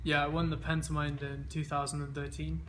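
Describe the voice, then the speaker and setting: A man's voice: on the high side, monotone, a little fast, background noise. A man in his twenties, raised in England, answering interview questions in an easygoing way with many fillers and restarts. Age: 20s. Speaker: interviewee, games player.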